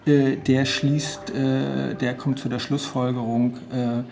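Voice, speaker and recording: A man explaining an idea off the cuff, halting and searching for his words. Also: mid-range voice, level tone, vocal fry, a little mellow. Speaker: co-creator explaining the project. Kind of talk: spontaneous explanation. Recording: faint ambient noise.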